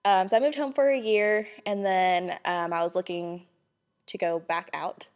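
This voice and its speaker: A woman's voice: mid-range voice, steady tone, moderate pace. A young woman from Hawaii, a podcast guest telling her story in a friendly, upbeat way. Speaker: guest, small business owner. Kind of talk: casual interview chat.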